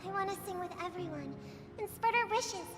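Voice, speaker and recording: A tiny hopeful girl in a game, super high voice, innocent and eager, music under it. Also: a little fast. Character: sweet, hopeful little girl, dreamy younger sister. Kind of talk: scripted video game drama. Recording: loud music behind the voice.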